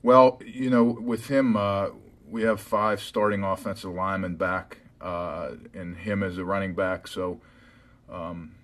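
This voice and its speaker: An American man, a college football head coach, answering media questions on a conference call in measured, hesitant phrasing. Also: low voice, steady tone, a bit bright, slight background noise.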